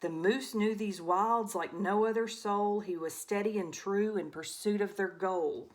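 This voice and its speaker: A woman reading a rhyming children's storybook aloud as an expressive narrator. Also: medium pitch, a little slow. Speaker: storybook reader.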